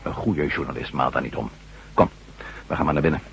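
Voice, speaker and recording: An actor playing a headstrong, sardonic ex-astronaut turned newspaper reporter in a radio drama, with a wry, theatrical delivery. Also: male voice, a bass voice, brisk. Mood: sly, gleeful. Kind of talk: radio drama acting in Dutch. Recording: muffled radio drama recording, clear hiss and hum.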